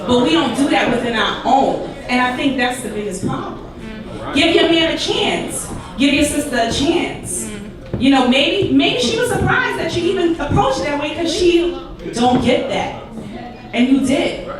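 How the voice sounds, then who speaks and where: A woman's voice: high voice, animated, unhurried. A woman on a live panel, speaking passionately and frankly. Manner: passionate, frank, repetitive lists. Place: echoey art venue, live taping. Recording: echoey, distant mic with crowd noise.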